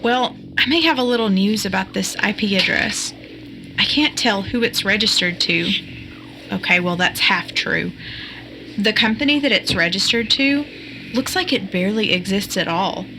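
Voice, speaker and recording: A curious young computer sleuth acted by a woman with a mid-pitched voice. She explains things conversationally in an audio drama, with clear noise in the background. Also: expressive, moderate pace, pushed and bright, poor audio. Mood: focused, explanatory.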